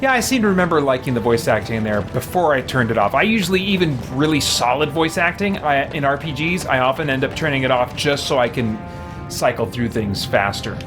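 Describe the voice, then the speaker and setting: A man's voice: medium pitch, expressive, a little fast. Chatty male game streamer over music. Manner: chatty, muses aloud. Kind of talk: casual live gameplay commentary.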